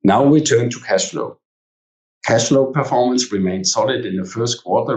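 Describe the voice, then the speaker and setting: Give the voice of a low-pitched man, a company executive delivering a scripted earnings presentation. He speaks formally, as if reading from prepared text. The recording is echoey and sounds some distance from the microphone. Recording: echoey room, distant from mic.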